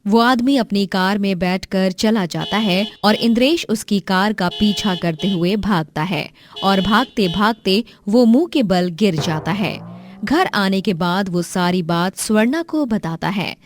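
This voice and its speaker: A woman's voice: mid-range voice, a little slow, noisy background. A woman reading scripted narration for a moral story as its storyteller.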